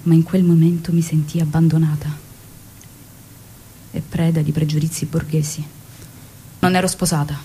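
An actress playing a revolutionary woman in love, recalling her story in a measured, confiding, emotional stage monologue. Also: sits low, little pitch movement, mellow, slight background noise, natural voice. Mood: wistful, wounded. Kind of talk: dramatic first-person stage monologue.

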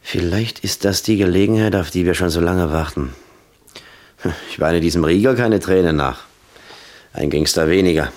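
Tiefer, hartnäckiger Polizeileutnant, von einem Mann gespielt. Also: male voice, sits low, moderate pace, lightly acted. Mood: pensive, determined. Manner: matter-of-fact, insistent. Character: dogged, sceptical male police lieutenant. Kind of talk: German radio crime drama acting.

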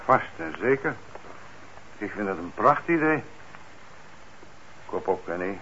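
An actor playing a firm, fair-minded police officer in a radio drama, speaking in a direct, questioning way. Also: male voice, lowish voice, very animated, slow, pushed and bright, exaggerated voice. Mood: encouraging. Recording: dull, noisy old radio recording.

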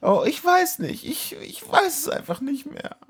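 A man's voice: extremely high pitch, big pitch swings, measured pace, voice pushed up, exaggerated voice. A man voicing a troubled, sarcastic young woman in a comic audio play, with a dramatic, incredulous manner. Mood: guilt-ridden.

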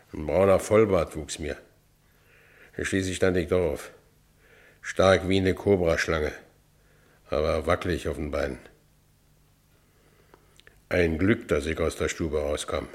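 A man's voice: deep voice, fairly even intonation, a little slow, pauses often. A man playing a down-and-out middle-aged ex-convict in a radio drama, brooding and defiant, muttering to himself with sudden outbursts. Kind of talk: radio drama acting, first-person narration.

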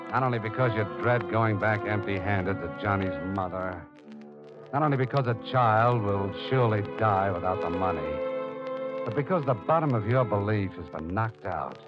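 An actor playing an earnest radio drama narrator, speaking gravely and deliberately in a theatrical style. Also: male voice, fairly low pitch, close to an everyday voice. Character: earnest dramatic male narrator addressing the heroine as you. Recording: dull old radio recording, music bed.

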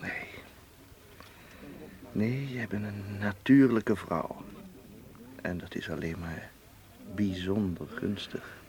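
A man's voice: on the low side, fairly even intonation, slow pace, long pauses, a little mellow. A man playing a guarded, wary suitor in a radio drama, with a terse, dry manner. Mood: intimate, coaxing. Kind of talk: naturalistic Dutch radio play acting.

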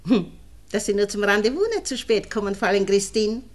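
An actress playing a nosy, falsely friendly married neighbour with a Viennese accent, chatty and insinuating, in a 1960s radio play. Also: female voice, medium pitch, brisk, natural voice. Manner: chatty, insinuating, colloquial dialect.

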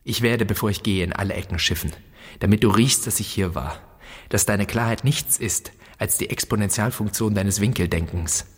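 A man's voice: on the low side, normal speed, husky. An actor playing a resentful, restless son who insistently interrogates his absent father in a literary radio drama. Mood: defiant, resentful. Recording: long reverberant hall echo.

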